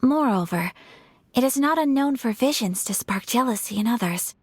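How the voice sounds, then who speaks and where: A woman playing a refined, composed young noblewoman in a video game, speaking in a formal, measured, polite way with a soft voice. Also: on the high side, expressive, husky, no room sound. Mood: composed, serious. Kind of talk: video game dialogue acting.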